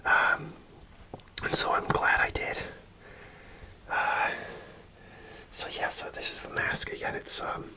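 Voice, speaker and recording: A man telling a story in a soft, rambling ASMR whisper with many pauses and hesitations, recorded close to the microphone. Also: rough recording. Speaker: ASMR creator.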